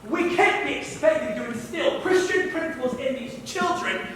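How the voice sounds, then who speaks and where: A woman's voice: high-pitched, lively intonation, normal speed, fairly bright tone. A woman acting a stern, rigid mission school official in a stage play, with severe, formal delivery. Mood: stern, unyielding. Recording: distant echoey hall, faint noise.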